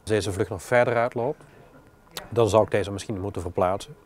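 Low-voiced Dutch gate planner man. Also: fairly quick, darkish tone. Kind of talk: documentary interview and work talk. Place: glass-walled airport control tower office.

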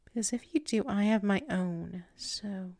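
A woman playing a flustered, submissive tsundere werewolf in an intimate ASMR roleplay, halting and murmuring, often slipping into whispers close to the mic. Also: medium pitch, a little mellow, no room sound. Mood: flustered, coy. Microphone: close microphone.

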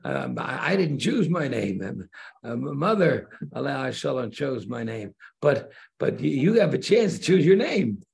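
An older man, a rabbi, giving an unhurried online Torah class, pausing often with fillers and restarts, speaking on a video call from a room by large windows. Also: medium pitch, big pitch swings, gravelly, darkish tone, husky. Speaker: rabbi teaching converts.

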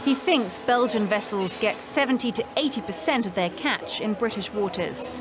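A woman's voice: medium pitch, normal speed. A woman reporter reading scripted narration for a news documentary. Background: background music.

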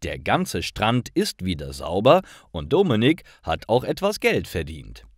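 Slow, expressive low-voiced male story narrator. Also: no room sound, clean studio sound. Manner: careful, deliberate enunciation. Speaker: language-course narrator. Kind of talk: scripted story narration for language learners.

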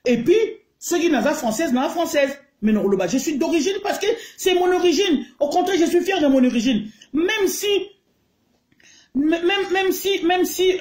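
A woman's voice: high voice, a little mellow. A middle-aged Congolese woman with a Congolese accent, ranting indignantly into her phone in a heated video. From Congo (DRC). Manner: indignant, emphatic, mixes French and Lingala. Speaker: online commentator. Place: small room indoors. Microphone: phone held close to the face. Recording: echoey room.

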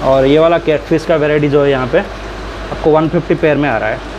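A man's voice: a little high, steady tone, a little fast, dull audio, background noise. Chatty man touring an aquarium shop. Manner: chatty, pointing out items and prices to viewers. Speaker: aquarium hobbyist vlogger. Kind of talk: casual shop tour narration. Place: indoor aquarium and pet shop.

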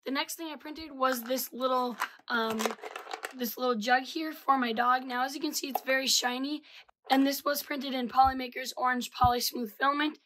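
A young woman with a slightly hoarse voice chatting casually through a product review, full of ums and uhs, recorded on a studio mic in a small room. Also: sits high, fairly even intonation, moderate pace, noisy background. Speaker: 3D printing reviewer. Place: small indoor room with 3D printers. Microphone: studio mic with pop filter.

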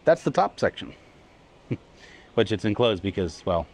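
A man's voice: fairly low pitch, big pitch swings, moderate pace, a little mellow. A man co-hosting a travel vlog, giving an easygoing, chatty walking tour aboard a cruise ship. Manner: easygoing, chatty, laughs between remarks. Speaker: travel vlogger co-host. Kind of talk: casual walking tour narration. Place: aboard a cruise ship, indoors and on open decks.

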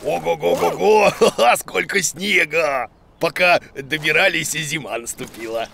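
A man's voice: a little high, measured pace, creaky, pushed and bright, a little hiss or hum, full character voice. A man voicing a grumpy, bumbling cartoon creature who plays a clueless teacher in a blustery, muttering way. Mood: excited. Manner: blustery, hesitant muttering and exclamations. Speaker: main character of a children's educational cartoon. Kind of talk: animated cartoon acting.

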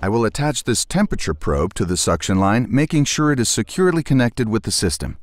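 A man reading a scripted technical tutorial as a technician presenter, in a measured, precise and formal manner. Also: on the low side, very animated. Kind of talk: scripted instructional narration.

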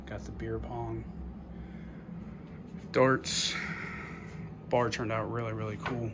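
A man casually narrating a walk-through tour of his basement game room, offhand and pausing often. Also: on the low side, little pitch movement. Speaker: homeowner giving a video tour. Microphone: handheld phone, behind the camera. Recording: noisy indoor phone video.

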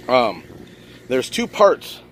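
A man's voice: mid-range voice, sing-song, very slow, a little hiss or hum. A man talking informally and thinking aloud as he gives a casual hands-on product review outdoors on a handheld camera. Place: outdoors on sandy ground at a campsite. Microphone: handheld camera held by the speaker.

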